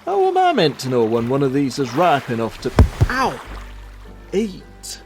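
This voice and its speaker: A man playing a lively, grumbling henpecked fish hawker. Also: mid-range voice, a little slow, over music. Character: grumbling henpecked husband hawking fish on a beach. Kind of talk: amateur radio play acting.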